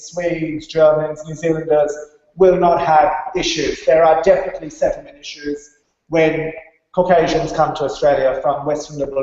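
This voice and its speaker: A man's voice: on the high side, a little mellow. A man from India presenting at a conference, didactic, stressing key points and checking that listeners follow. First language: English. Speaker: conference speaker. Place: large reverberant conference room. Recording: very echoey hall, dull muffled sound.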